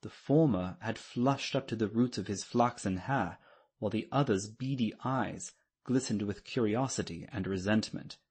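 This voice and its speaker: Husky, low-voiced male audiobook narrator. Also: darkish tone. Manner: measured, formal storytelling. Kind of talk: scripted dramatic literary narration.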